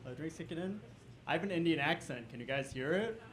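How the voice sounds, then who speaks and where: A young man doing a stand-up comedy set on a club stage, hyping the crowd and then dropping into deadpan setups. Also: a little high, quick pace. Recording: echoey club with crowd noise.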